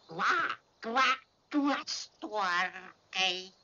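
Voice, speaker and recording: A stolid, contrary toad, croaks out words extremely slowly with a sharp edge. The cartoon acting sits on a dull old film soundtrack. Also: mid-range voice, lively intonation, exaggerated voice. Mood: contrary, indignant. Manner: drawn-out, croaking delivery. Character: stolid toad medical assistant, contrary, slow-witted. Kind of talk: theatrical animated film voice acting.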